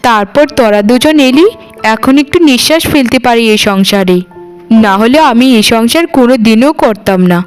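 A woman's voice: fairly high pitch, moderate pace, smooth, soft background music, close to an everyday voice. A woman voicing a long-suffering elder sister-in-law in a cartoon, confiding in a plaintive way. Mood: weary. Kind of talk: animated cartoon dialogue.

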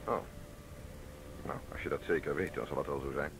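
A man's voice: very deep voice, fairly even intonation, a little fast. A man playing a curt, probing, suspicious middle-aged police commissioner in a radio drama. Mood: businesslike, probing. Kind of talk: Dutch radio drama acting. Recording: noisy vintage radio play recording.

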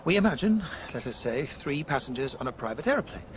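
Animated, pompous philosophy teacher, played by a man. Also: mid-range voice, fast, background noise, lightly acted. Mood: pompous lecturing. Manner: lecturing, theatrical. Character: pompous, fussy male philosophy teacher turned would-be superhero. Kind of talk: radio drama acting.

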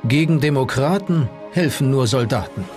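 An actor playing formal, stern nineteenth-century Prussian gentlemen in documentary quotations, declaiming solemnly. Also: male voice, medium pitch, expressive, normal speed, natural voice. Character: 19th-century male eyewitnesses and Prussian king, formal, stern. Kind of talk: acted historical quotations in a documentary. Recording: faint music behind the voice.

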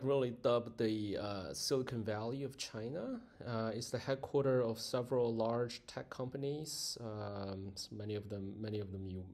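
A man narrating a casual travel vlog as he walks through streets, a mall and a subway station, halting with many fillers and self-corrections. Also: mid-range voice, a little mellow. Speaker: travel vlogger.

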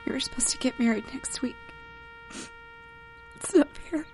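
A woman's voice: sits high, moderate pace, darkish tone, a little hiss or hum, lightly acted, low-quality recording. A woman acting a heartbroken, grieving young fiancée in tears in an audio drama. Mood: grieving, tearful. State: crying.

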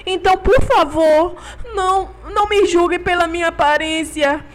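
A woman's voice: very high voice, fairly even intonation, moderate pace, slight background noise. A woman with a Brazilian accent voicing a timid, lonely, odd-looking baby male dinosaur who pleads and stammers. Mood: pleading. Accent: Brazilian Portuguese. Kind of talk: game roleplay voice acting.

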